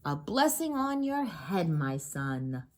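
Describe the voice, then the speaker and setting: A woman voicing a learned, grave village rabbi in a storybook, speaking in deliberate pronouncements. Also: sits low, big pitch swings, heavily acted. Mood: kindly, decided.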